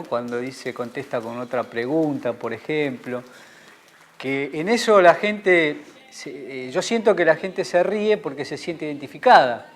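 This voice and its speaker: A man who talks in an interview with many hesitations and fillers. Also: medium pitch. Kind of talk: casual interview talk.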